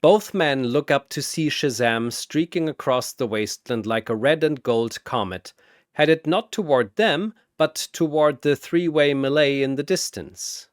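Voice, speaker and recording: Slow, dramatic man narrating battles. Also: mid-range voice, dry recording, clean studio sound.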